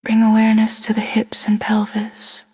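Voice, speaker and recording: A woman with a soft, breathy, hushed voice leading a scripted guided body scan meditation in short phrases with long pauses. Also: on the high side, completely flat delivery, poor audio.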